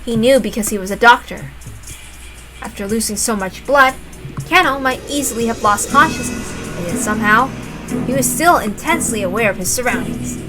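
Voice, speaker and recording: High-voiced woman reading a dark story. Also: animated, fairly quick, over music, poor audio. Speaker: reader of a visual novel. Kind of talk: dramatic narration read aloud.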